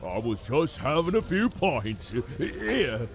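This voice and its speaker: An actor playing an evasive, sluggish pub regular in a noir audio drama, halting and slangy with a creaky male voice. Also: a little high, sing-song, heavily acted. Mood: cagey. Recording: bar chatter behind voice.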